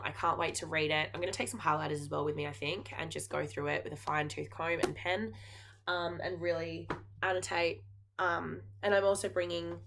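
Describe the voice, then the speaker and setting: A woman's voice: deep voice, vocal fry, fairly bright tone, slight background noise. An Australian woman, a knitting podcast host and geography teacher, chatting earnestly to camera with lots of ums and likes. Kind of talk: casual chat to camera.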